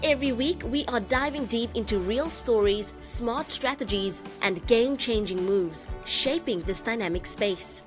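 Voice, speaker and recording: A woman's voice: on the high side, measured pace, hardly any pauses, music in the background. A woman hosting a podcast, speaking in an upbeat, presenter-like way. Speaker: podcast host. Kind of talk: scripted intro, then interview.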